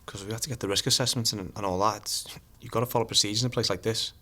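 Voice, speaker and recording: Guy acting a young scaffolder, defensive, fast talker, slightly deep voice, safety film drama. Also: steady tone, background noise, natural voice. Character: young male scaffolder, uneasy, defensive, follows procedure. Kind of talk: naturalistic interview scene in training film drama.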